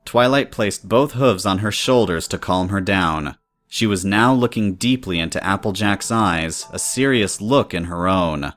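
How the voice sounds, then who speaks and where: A man's voice: deep voice, measured pace, fairly bright tone, soft background music. A man reading scripted story narration in the third person.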